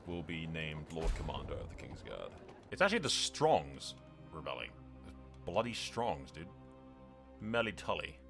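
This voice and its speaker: A man's voice: low voice, bright, forceful tone. A man commentating casually on a roleplay strategy game playthrough, with an informal, slangy way of talking. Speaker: gaming channel host.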